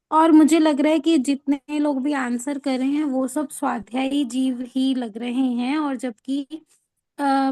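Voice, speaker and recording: A woman with a high, mellow voice moderates an online class. She addresses participants informally over a video call. Her sound is dry, without room echo.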